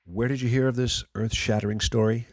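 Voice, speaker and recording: A man playing a sardonic, sceptical late-night radio host, with a wry, theatrical manner and a husky voice. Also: medium pitch, brisk, no room sound, clean studio sound, close to an everyday voice. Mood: dryly sceptical. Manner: wry, theatrical, deadpan sarcasm. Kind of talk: radio play acting as talk host.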